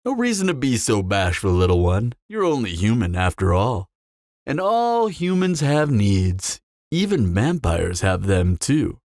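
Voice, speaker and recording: A man's voice: lowish voice, sing-song, a little mellow, no echo, studio quality. Man acting a smug, swooping flirtatious vampire. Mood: flirty, amused. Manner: teasing, smug drawl with dramatic pauses. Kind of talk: scripted audio roleplay, intimate character acting.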